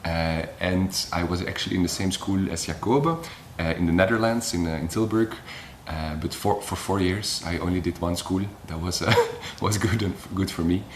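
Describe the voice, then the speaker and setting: Very deep-voiced young man, laid back. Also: normal speed, few pauses, roomy sound, a little hiss or hum. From Belgium. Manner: relaxed, filler-heavy with frequent "like". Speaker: circus acrobat. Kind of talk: casual interview talk.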